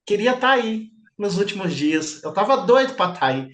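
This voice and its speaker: A middle-aged male instructor leads a live online class in a loose, chatty way. He keeps checking in with his students as he goes. His voice sits a bit high for a man. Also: sing-song, moderate pace, a bit bright. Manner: chatty, informal, peppers speech with "tá?".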